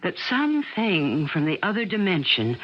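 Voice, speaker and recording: Dull old radio recording, a worried mom, middle-aged, soothing but very expressive. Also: female voice, fairly low pitch, moderate pace. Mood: anxious. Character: gentle, anxious, protective mother of a college son. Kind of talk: 1980 American radio drama acting.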